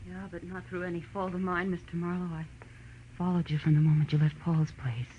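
An actress playing an earnest young woman with a soft, subdued delivery, in old radio drama style. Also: fairly low pitch, flat delivery, brisk, natural voice. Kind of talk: 1940s-50s radio drama acting. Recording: dull, noisy vintage radio recording.

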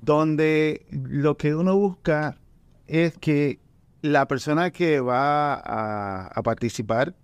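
A man's voice: fairly high pitch, slow, clean tone, dry recording. A man who grew up between two Caribbean islands, chatting casually about poetry gatherings, often repeating words as he thinks. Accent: Caribbean Spanish. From Puerto Rico and Dominican Republic. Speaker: poet and event organizer. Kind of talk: casual conversation.

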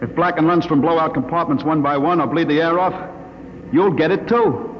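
A man's voice: fairly high pitch, brisk, lightly acted. A man playing an urgent leader of spaceship mutineers in an old radio drama, giving clipped orders. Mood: pressing. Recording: dull, noisy old radio recording.

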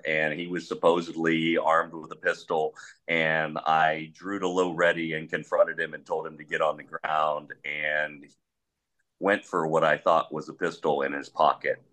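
Panel guest: man, police trainer, big voice, very low and forceful, blunt and measured. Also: little pitch movement. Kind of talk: panel discussion.

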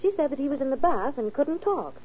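Quick, lively, earnest secretary. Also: female voice, sits high. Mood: concerned, brisk. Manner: brisk, precise, concerned. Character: earnest, worried female secretary at a doctor's office. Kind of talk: old-time radio crime drama acting. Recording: dull vintage radio recording, faint noise.

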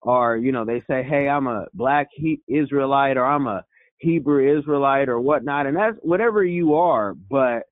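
A man hosting an interview, talking earnestly and haltingly with many fillers. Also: medium pitch, no room sound. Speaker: interview host. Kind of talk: conversational interview. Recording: dry phone-like lo-fi sound.

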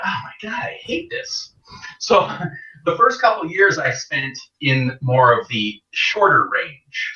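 A man raised in Canada, a golf coach, rambles through stories and laughs freely. His voice has a bright, forceful edge but sits back from the mic, echoing around a room. The sound is slightly dull. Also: medium pitch. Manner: laughing often, rambling storytelling. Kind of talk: casual interview chat. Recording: distant, echoey, dull sound.

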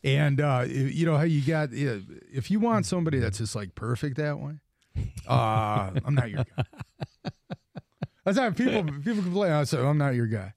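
A man's voice: medium pitch, lively intonation, moderate pace, vocal fry, a little mellow, no echo, clean studio sound. A man hosting a sports talk radio show, rambling and emphatic, often breaking off and restarting his sentences. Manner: rambling, self-interrupting, emphatic.

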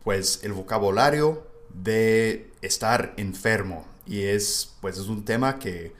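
A man's voice: lowish voice, slow. A man from the United States, a native English speaker, hosting a casual language-learning podcast. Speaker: podcast host. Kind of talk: casual educational podcast conversation.

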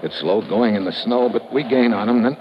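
Male actor playing a tough investigator, very low voice, clipped dry narration, noisy muffled vintage radio recording. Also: fairly quick, close to an everyday voice. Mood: terse narration. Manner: clipped, dry, hard-boiled. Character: tough wisecracking male American investigator narrating his case. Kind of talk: 1950s radio drama acting, hard-boiled narration.

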